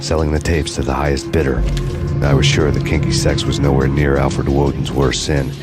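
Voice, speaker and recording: An actor playing a hard-boiled, world-weary vigilante who narrates his story in a deadpan, sardonic noir style. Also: extremely low pitch, normal speed. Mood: brooding, wry. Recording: music behind the voice.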